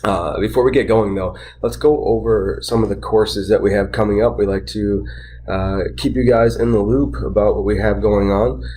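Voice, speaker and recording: A man's voice: on the low side, moderate pace, a little hiss or hum. A man with a slightly nasal voice from a sinus infection, hosting a casual live educational podcast and rambling with fillers. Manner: relaxed, rambling with many fillers. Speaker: podcast host.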